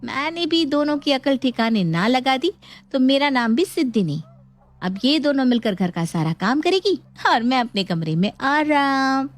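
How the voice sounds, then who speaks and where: A woman's voice: high-pitched, sing-song, moderate pace. A woman playing a put-upon young daughter-in-law, polite yet scheming, in an acted moral story. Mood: gloating.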